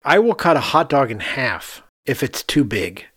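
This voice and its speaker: A man hosts a casual podcast chat. His pitch moves around in a lively way and his delivery is animated and joking. The sound is dry, with very little room in it. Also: moderate pace, slightly rough, no room sound. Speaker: podcast host.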